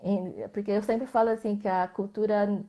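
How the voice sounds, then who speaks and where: A woman with a Brazilian Portuguese accent, a visual artist speaking as a guest in a live online interview, reflective, often tagging her sentences with questions and letting them trail off softly. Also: mid-range voice, fairly even intonation, moderate pace.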